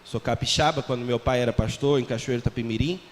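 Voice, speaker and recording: Um homem brasileiro na casa dos quarenta, missionário criado em Portugal, com sotaque brasileiro e traços do português europeu, falando de forma descontraída e brincalhona à congregação. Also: male voice, medium pitch, fast, a little hiss or hum. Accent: Brazilian Portuguese with European Portuguese traces. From Brazil. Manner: jovial, self-mocking, chatty with the congregation. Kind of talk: church missionary talk. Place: large church hall. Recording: long church reverb, faint ambience.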